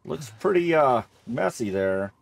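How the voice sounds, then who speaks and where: A man's voice: mid-range voice, big pitch swings, a little slow, creaky. A man giving casual Let's Play commentary on a horror game, rambling and thinking aloud as he plays, often repeating himself. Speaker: gamer narrating a horror game playthrough.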